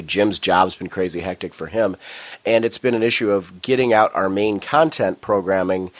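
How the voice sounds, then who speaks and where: Chatty podcast host with a cold. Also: male voice, on the low side. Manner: enthusiastic, chatty. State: has a cold, slightly nasal. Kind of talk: casual chat and ad reads.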